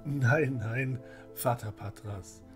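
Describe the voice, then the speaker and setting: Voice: mid-range voice, slow, faint music behind, natural voice. A performer playing a cheerful, eager sixteen-year-old male novice in a fantasy audio drama. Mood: flustered eagerness.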